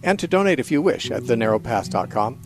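A man's voice: medium pitch, big pitch swings, fast, music in the background. A man hosting a call-in radio show, answering Bible questions thoughtfully with fillers and repeated words. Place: radio studio.

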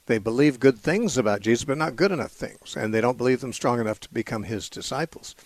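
A man's voice: medium pitch, animated, quick pace, no room sound. A man hosting a call-in radio show, answering Bible questions thoughtfully with fillers and repeated words. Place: radio studio.

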